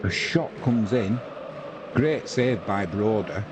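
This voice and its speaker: A lower-voiced English man calls a football match live, mixing play-by-play with easygoing chat aimed at his viewers. Clear background noise sits under his voice throughout the stream. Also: animated, slow pace. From England. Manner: conversational play-by-play, reading out viewer comments. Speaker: watch-along commentator.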